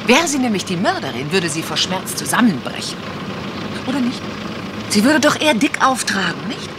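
Woman acting a sharp-witted lady sleuth, mid-pitched voice, probing, musing, radio mystery, noticeable background noise. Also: very animated, normal speed, lightly acted. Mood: pondering. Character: curious, sharp-witted amateur woman detective. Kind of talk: German radio crime drama acting.

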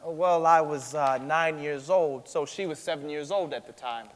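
A man's voice: a little high, moderate pace, lightly acted. Young man acting an earnest teenage witness, echoey hall. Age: young adult. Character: earnest fifteen-year-old boy testifying as a witness. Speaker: student actor in a staged reading. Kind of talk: dramatic stage reading of court testimony. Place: large theatre stage, indoors. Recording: echoey theatre hall, long reverb.

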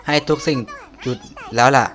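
A knowing fantasy-game shrine guardian, female and teasing, read out by a man. The lines come in a musing way, with halting stumbles as if read from the screen. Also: a bit bright, close to an everyday voice. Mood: reflective.

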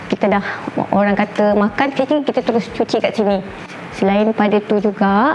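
A middle-aged woman with a Malaysian accent giving a casual, chatty tour of her house, often pausing to search for words. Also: mid-range voice, darkish tone. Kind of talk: casual guided home tour to camera. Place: indoors, rooms of a furnished house. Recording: slightly dull sound with faint background noise.

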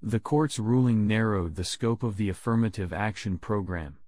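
A man reading scripted language test sentences aloud in a measured, deliberate way. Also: fairly low pitch, darkish tone, no room sound. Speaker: test prompt reader.

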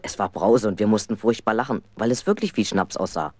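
A man's voice: on the low side, animated, fairly quick, darkish tone, dry recording. A man narrating a children's radio play as a mischievous, aggrieved schoolboy telling his own story. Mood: recounting.

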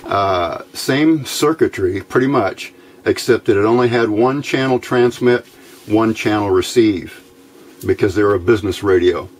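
An American man giving a casual, unscripted hands-on demonstration, thinking aloud in a halting way as he goes. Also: on the low side. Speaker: hobbyist radio builder presenting a how-to video. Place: small indoor workbench. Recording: noticeable background noise.

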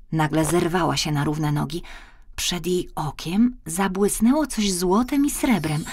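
A woman reads a children's fairy tale expressively. Her voice sits a little low, and music plays behind the narration. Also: darkish tone. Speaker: audiobook narrator.

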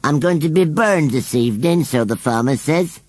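A man's voice: fairly high pitch, animated, darkish tone, no echo, voice pushed up. A man doing a wheezy, forlorn scarecrow. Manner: wheezy, slow and mournful. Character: shy wheezy male scarecrow, gloomy and forlorn.

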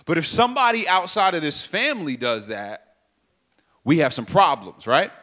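A young man speaking on a stage with a handheld mic, telling an animated story, acting out sound effects and talking straight to the crowd. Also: fairly high pitch, moderate pace. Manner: animated, acts out sounds and voices, addresses crowd directly. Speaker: speaker at a church youth event. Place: stage in a purple-lit hall. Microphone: handheld microphone.

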